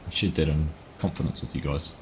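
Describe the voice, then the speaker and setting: Kiwi male streamer, very low voice, laid-back chatter over faint noise. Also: fairly even intonation, a little fast, a bit bright. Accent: New Zealand. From New Zealand. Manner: chatty, laughs at himself, reads chat aloud. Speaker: livestream gaming host. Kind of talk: casual live gameplay chat.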